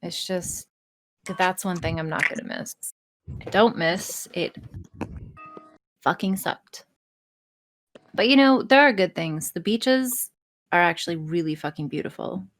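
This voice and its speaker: A woman with a fairly low voice talks in loose, casual podcast banter. She jokes as she goes and swears freely. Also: expressive, a little slow, long pauses, a little hiss or hum. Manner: frequent swearing, joking. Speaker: podcast guest, audio performer.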